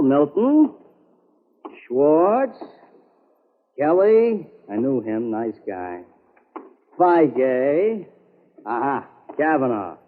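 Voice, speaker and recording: Droll morgue guy, chatty n ghoulish, slow and swoopy, muffled old radio play. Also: medium pitch. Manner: rambling, droll, drawn-out. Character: chatty, ghoulishly cheerful male morgue attendant. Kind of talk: 1940s radio character acting. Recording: muffled vintage radio recording.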